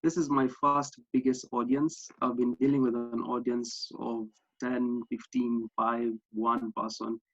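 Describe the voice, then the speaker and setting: A man's voice: medium pitch, level tone, a little slow, few pauses, a bit bright, faint music behind. Earnest young Kenyan man presenting. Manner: earnest, with frequent fillers and restarts. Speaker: workshop presenter. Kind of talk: online masterclass presentation. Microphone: video call. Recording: slightly dull lo-fi sound.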